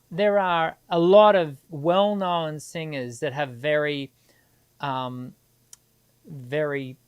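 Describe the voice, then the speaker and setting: A middle-aged woman, hosting a live singing Q&A and answering viewers in a chatty, encouraging way. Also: on the low side. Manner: chatty and encouraging, many restarts and filler ums.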